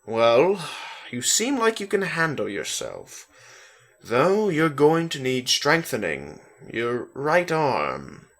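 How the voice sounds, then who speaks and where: A man voicing a composed male doctor, firm but trying to be kind, speaking in a measured clinical way in a story reading. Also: mid-range voice, huge pitch swings, a bit bright, pitched above the performer's own voice, full character voice. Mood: matter-of-fact.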